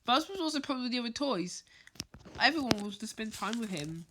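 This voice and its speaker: A woman reading a children's bedtime story aloud, haltingly, stumbling over and repeating words as she goes. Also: on the high side, animated, noisy background. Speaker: storybook reader.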